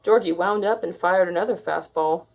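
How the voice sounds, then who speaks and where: A woman reading a children's story aloud as an expressive narrator. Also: lowish voice, moderate pace, low-quality recording. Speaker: storybook reader.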